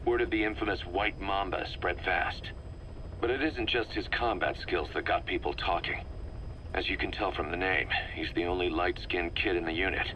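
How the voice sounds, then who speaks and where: An actor playing a blunt, wry, hard-boiled mercenary officer, delivering a clipped and emphatic briefing in a game. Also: male voice, low voice, fairly even intonation, normal speed, sharp tone, slight background noise, low-quality recording. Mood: wry, matter-of-fact. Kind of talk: dramatic game briefing monologue.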